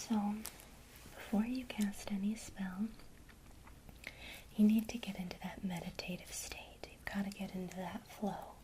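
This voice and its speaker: Woman, ASMR guide, unhurried soft whisper, faint crinkle behind. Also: medium pitch, noisy background. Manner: soft unvoiced whisper, slow and calm. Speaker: ASMR creator teaching witchcraft.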